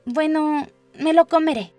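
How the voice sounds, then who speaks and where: Woman voicing high-pitched curious little Alice. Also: a little slow, a little mellow, dry recording, soft background music. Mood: determined. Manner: musing, self-scolding. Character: curious little girl Alice, thinking aloud to herself. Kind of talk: storybook character voice.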